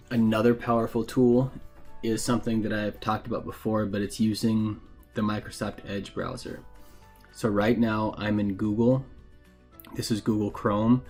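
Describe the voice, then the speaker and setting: A man narrating a casual software tutorial in a plain, informal, explanatory way. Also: lowish voice. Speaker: instructor giving a software tutorial.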